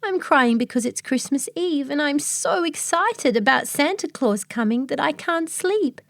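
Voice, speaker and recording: A woman voicing a sad, excited little boy who can't sleep, sniffling as he talks. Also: high voice, a little slow, vocal fry, dry recording, clean studio sound, voice pushed up, exaggerated voice. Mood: tearful.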